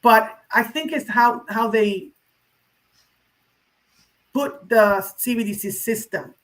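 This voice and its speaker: A woman's voice: fairly high pitch, takes it slowly, fairly bright tone. A woman speaking as an interview guest, emphatic and opinionated, addressing the listener directly in a conversational way. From San Juan, Puerto Rico. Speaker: interview guest, social media crypto educator.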